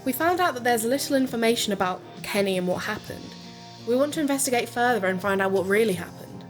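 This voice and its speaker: An actress playing an earnest, excitable woman who co-hosts an investigative podcast in an audio drama. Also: fairly high pitch, fast, faint music behind, lightly acted. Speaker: podcast co-host.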